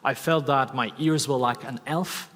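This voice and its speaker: A man from Poland, speaking English as a second language, gives an energetic motivational stage talk and asks the audience questions. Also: medium pitch, measured pace. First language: Polish. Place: large hall with audience. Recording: long hall reverb.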